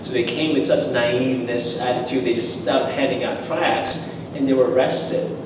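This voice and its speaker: A man's voice: medium pitch, fairly even intonation, moderate pace, noisy background. A man giving a personal testimony at a church seminar, telling stories animatedly with frequent restarts and fillers. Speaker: seminar speaker. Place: church hall. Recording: echoey hall, far from the mic.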